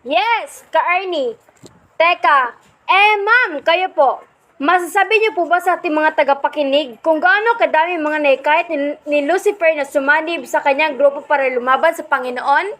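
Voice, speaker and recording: A woman's voice: high-pitched, moderate pace, fairly bright tone. A woman playing a polite, formal radio reporter conducting a live interview in a radio play. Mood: polite, inquiring. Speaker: field interviewer.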